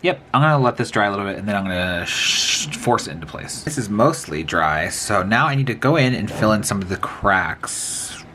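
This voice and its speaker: Brief: sniffly man, low-pitched, lively and quick, rambling to himself while he builds miniatures, faint room noise. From American Midwest. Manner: chatty, self-talking, rambling asides while working. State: sniffly from a cold. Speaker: miniature crafter. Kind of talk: casual crafting vlog narration.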